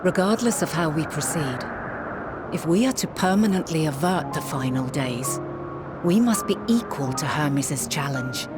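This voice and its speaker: An actress playing a wise, resolute leader in a game, speaking in measured, solemn, formal archaic phrases. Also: female voice, deep voice, animated, over loud music. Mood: grave, resolute. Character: wise, resolute ancient woman leader, grave yet hopeful. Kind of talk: dramatic scripted game acting.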